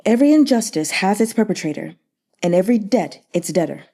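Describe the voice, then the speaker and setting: A woman voicing a shrewd, unreadable male commander in a historical novel, calm, formal and deliberate. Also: medium pitch. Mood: composed, shrewd.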